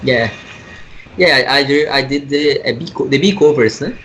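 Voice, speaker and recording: A man from Brazil with a Brazilian Portuguese accent, a comic artist guest speaking English as a second language, halting and searching for words. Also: mid-range voice, fairly bright tone, slight background noise. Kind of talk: casual interview over a call.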